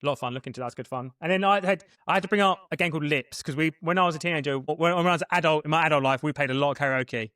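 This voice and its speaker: Young man, podcast co-host, fast talker, rambling, dry close sound. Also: a little high, pushed and bright, no room sound. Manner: rapid, rambling, many restarts. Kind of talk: casual chat.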